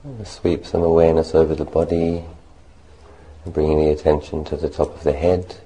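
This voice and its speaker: A man leading a guided meditation as a monk, speaking gently and unhurriedly in short phrases with long pauses. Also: very deep voice, steady tone, poor audio.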